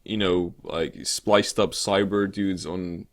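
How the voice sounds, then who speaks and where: A man co-hosting a gaming show in casual, unscripted chat, rambling and halting, with a joking way of talking. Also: low voice, steady tone, noisy background. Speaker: co-host of a weekly gaming news show.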